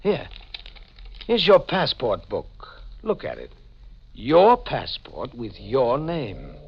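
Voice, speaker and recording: An actor playing a firm, authoritative ship's doctor, speaking emphatically in 1940s radio mystery style. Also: male voice, medium pitch, wildly swooping pitch, slow, gravelly, slightly rough, full character voice. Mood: firm, insistent. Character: firm ship's doctor calmly contradicting a patient. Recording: muffled, noisy old radio recording.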